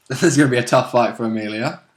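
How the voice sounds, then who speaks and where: A man co-hosting a tabletop roleplay vidcast, chatting casually and reacting informally to the game. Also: medium pitch. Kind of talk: casual chat.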